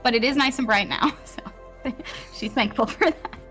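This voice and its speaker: A woman's voice: a little high, normal speed, fairly bright tone, rough recording. A woman narrating a live tabletop roleplaying game as game master, with theatrical storyteller phrasing. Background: music.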